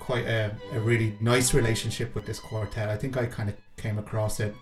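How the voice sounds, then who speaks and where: Male composer, interview register: low-pitched and level, thoughtful and tentative, with faint music underneath. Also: level tone, fairly quick. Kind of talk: reflective interview talk about own work. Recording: roomy echo, faint music behind.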